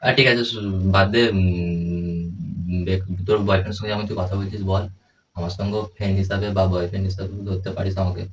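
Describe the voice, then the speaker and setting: A man's voice: low voice, fairly even intonation, quick pace. A young man chatting casually as a prank caller, in a teasing, coaxing manner. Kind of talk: casual phone chat. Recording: distant mic, faint background noise.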